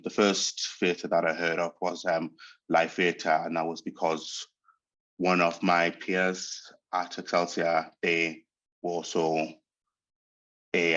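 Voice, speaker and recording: A man reflects on his path as an actor, pausing often to search for words. He grew up speaking Portuguese first. The sound is dull and muffled. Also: low voice, a bit bright. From Angola. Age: 30s. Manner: thoughtful, hesitant, searching for words. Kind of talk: reflective interview monologue.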